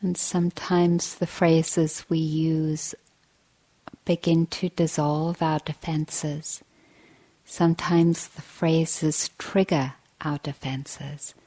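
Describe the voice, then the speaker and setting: Female meditation teacher, low and fairly even in tone, gentle, measured delivery with generous pauses. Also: slightly rough. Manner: gentle, measured, with long pauses between short phrases. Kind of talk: guided meditation dharma talk.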